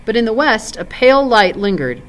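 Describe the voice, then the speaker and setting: A woman reads as a proud noble warrior from a fantasy storybook. His speech is formal and archaic, grave and doubtful, with a lively, moving pitch. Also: a little fast.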